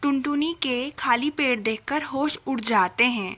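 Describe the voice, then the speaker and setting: Slow, bright, high-pitched woman narrator. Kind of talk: scripted children's story narration.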